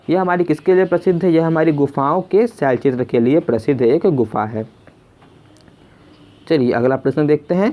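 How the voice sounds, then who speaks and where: A tutor leads a question-and-answer exam lesson at a middling pitch. Questions get restated before the answer, and listeners are addressed as friends. The recording is dull and lo-fi. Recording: dull, lo-fi sound.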